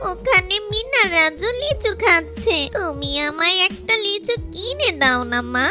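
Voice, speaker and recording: Very high-pitched pleading little girl. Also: animated, measured pace, bright, forceful tone, faint music behind, full character voice. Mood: tearful, pleading. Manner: whining, pleading. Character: poor, pleading little girl, wistful and tearful.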